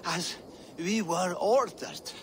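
An actor voicing a captured Norse raider in a video game, defiant, mocking and weary, with a gravelly voice and a taunting, cryptic manner. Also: male voice, high voice, takes it slowly, a little hiss or hum. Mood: defiant, sly. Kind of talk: dramatic video game acting.

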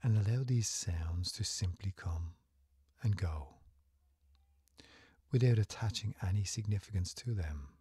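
Man, very husky and breathy, low-pitched, soothing meditation guide, gentle near-whispered delivery. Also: measured pace, pauses often, dry recording, studio quality.

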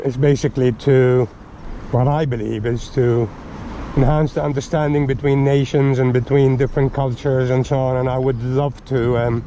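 Relaxed man vlogging from a motorcycle. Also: medium pitch. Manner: relaxed, rambling with many ums. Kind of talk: casual narration while riding. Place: outdoors on a motorcycle by a river. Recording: dull helmet mic with wind and traffic noise. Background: wind and traffic noise.

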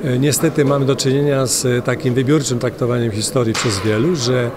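An older man, a member of parliament, answering interview questions haltingly and with many filler sounds. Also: medium pitch, fairly even intonation, background noise. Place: large indoor parliament hall. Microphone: handheld interviewer mic.

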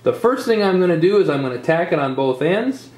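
A man's voice: fairly high pitch, very animated, brisk, a little mellow. A welding instructor explains a weld step by step in his workshop and speaks to the viewers at home. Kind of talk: on-camera workshop demonstration. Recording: roomy workshop sound.

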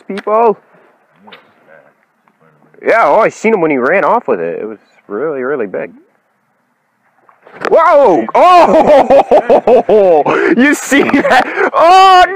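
Young male fishing vlogger, lively and excitable, slow-spoken between bursts, filmed on a handheld camera out on a lake. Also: sits high. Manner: excitable, whoops and laughs at strikes. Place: small boat on a foggy lake, outdoors.

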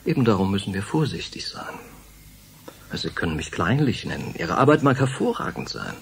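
An actor playing a polite, evasive, fussy publisher with an unctuous, courteous manner in a German radio drama. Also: male voice, fairly low pitch, sing-song, a little fast, creaky, a little hiss or hum. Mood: smoothly evasive.

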